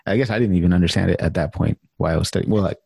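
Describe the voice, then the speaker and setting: A laid-back male host with a very low, gravelly voice, blunt and casual and never shy of a curse. His tone is dark and husky. The sound is close and dry. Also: racing, dry recording. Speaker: podcast host. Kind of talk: casual podcast conversation.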